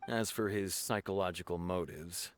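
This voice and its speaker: An actor playing an earnest, official-minded law officer in an anime game, explaining in a measured, matter-of-fact way. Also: male voice, low-pitched, steady tone, close to an everyday voice. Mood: explaining, exasperated. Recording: game music behind the voice.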